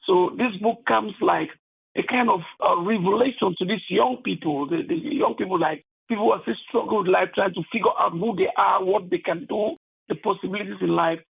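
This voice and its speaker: A man with a Cameroonian accent giving earnest interview answers as an author, haltingly, often adding "you know". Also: sits high, creaky, husky. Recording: thin phone-like line.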